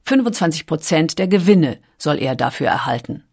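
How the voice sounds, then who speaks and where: A woman's voice: low-pitched, expressive, normal speed, darkish tone, husky. A woman reading scripted documentary narration as a narrator.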